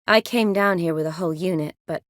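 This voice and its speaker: An actress playing a young woman army medic in a video game, caring, playful and briskly practical, with a natural, teasing delivery. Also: on the low side, no echo, studio quality, lightly acted. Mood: businesslike. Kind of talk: video game character dialogue.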